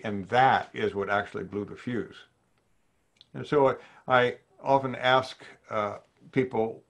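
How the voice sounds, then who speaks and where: An older man from New Orleans, a psychiatrist giving a measured webinar lecture to physicians over slides, often posing questions to his listeners, heard through a webcam in his home study. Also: medium pitch, gravelly. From New Orleans, USA. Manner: measured, thoughtful, poses questions to the audience. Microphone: video call webcam.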